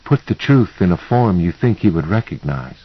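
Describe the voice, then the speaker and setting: A man voicing a calm, assured woman mentor in an audiobook, speaking in an instructive, matter-of-fact way. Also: deep voice, normal speed, slightly rough, dry recording, lo-fi sound, full character voice.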